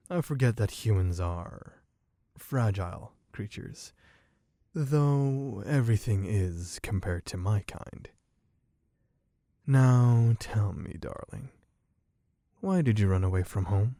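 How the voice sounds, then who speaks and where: A man playing a sadistic, possessive vampire in an ASMR roleplay, speaking in a taunting, condescending, deliberate way. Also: fairly low pitch, dry recording, clean studio sound. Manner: taunting, condescending, slow and deliberate with soft chuckles. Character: sadistic, possessive male vampire toying with human captive.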